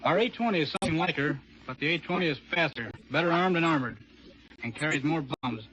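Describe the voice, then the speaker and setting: A young American pilot gives measured, deadpan answers on 1940s radio. His voice is a little high for a man. The sound is dull, with faint noise underneath. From Chicago, United States. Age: young adult. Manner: deliberate, deadpan. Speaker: Air Force test pilot, captain. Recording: muffled, noisy 1940s radio broadcast.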